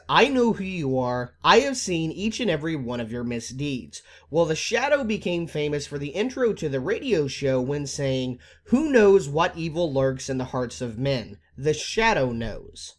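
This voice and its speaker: A man narrating a scripted video game explainer as a YouTuber, with formal, emphatic phrasing. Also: on the high side.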